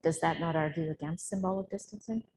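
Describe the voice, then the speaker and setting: A woman with a low voice speaks over faint background noise. She answers as an academic speaker in an unscripted discussion, reasoning aloud at a measured tempo of thought.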